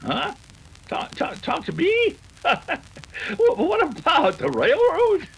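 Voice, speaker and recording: A man's voice: very high-pitched, huge pitch swings, slow pace, creaky, exaggerated voice, rough recording. A man playing a flustered, bumbling old rancher father with a rustic Western accent, stammering and folksy, in an old radio drama. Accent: rustic American West. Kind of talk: 1930s western radio acting.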